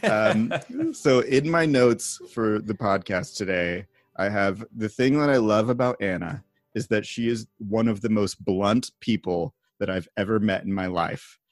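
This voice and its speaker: A man's voice: on the low side, lively intonation, unhurried, hardly any pauses, no room sound, studio quality. A man hosting a podcast as a pastor, chatting casually, laughing often and speaking loosely with fillers. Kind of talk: casual chat. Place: remote call.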